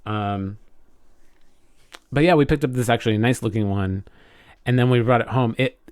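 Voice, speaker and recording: A man with a mid-pitched voice chats casually as a podcast co-host. He is enthusiastic but halting, often repeating and rephrasing words as he goes. The sound is dry, with no room echo. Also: darkish tone. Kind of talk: casual chat, telling a personal anecdote.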